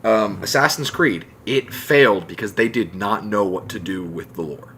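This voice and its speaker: A man's voice: on the low side, moderate pace, sharp tone, a little hiss or hum. A man talking casually and emphatically on a discussion podcast, giving his opinions. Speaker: podcast co-host.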